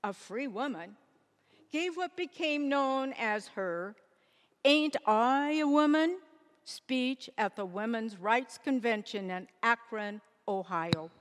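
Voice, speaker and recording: Woman narrating history, really high voice, slow careful declaiming, echoey hall. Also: lively intonation. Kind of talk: scripted historical narration, readers theater. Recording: echoey hall, long reverb.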